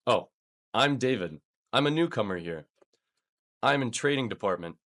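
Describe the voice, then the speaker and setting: A man's voice: mid-range voice, animated, normal speed, fairly bright tone. A man voicing a polite office newcomer in a scripted English lesson dialogue. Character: polite male office newcomer, pleased with new job.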